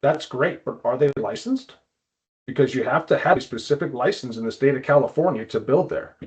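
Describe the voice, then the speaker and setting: A man's voice: medium pitch, fairly quick, a little mellow. A man giving an informal explanatory presentation in a conversational way, on slightly dull lo-fi audio.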